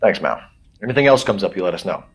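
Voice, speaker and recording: An actor playing a composed American 1940s police detective in a video game, asking questions in a clipped, formal way. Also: male voice, low voice, animated, fast talker, husky, heavily acted. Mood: inquiring. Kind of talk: cinematic video game acting.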